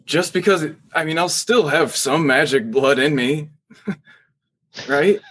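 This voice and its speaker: A man's voice: fairly high pitch, takes it slowly, fairly bright tone, slightly rough, full character voice. A man playing an anxious, pleading young wizard in an improvised comedy sketch, stammering and repeating his words.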